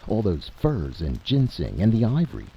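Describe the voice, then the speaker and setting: Man doing a gruff, folksy, optimistic adventurer. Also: fairly low pitch, wildly swooping pitch, moderate pace, dull audio, a little hiss or hum, pitched above the performer's own voice, exaggerated voice. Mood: confident, reassuring. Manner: slangy, folksy, confident.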